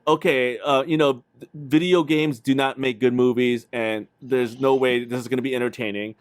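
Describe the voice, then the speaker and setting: A man's voice: mid-range voice, steady tone, normal speed, clear, resonant tone, no room sound. A man co-hosting a casual livestream, telling stories in a slangy, rambling way. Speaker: podcast co-host.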